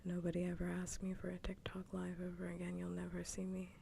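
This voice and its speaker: A woman ASMR streamer chatting casually in a soft, breathy whisper close to the microphone, repeating herself as she goes. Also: lowish voice, monotone, fast talker, slight background noise. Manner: casual, repetitive, mildly swearing. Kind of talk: whispered ASMR livestream chat.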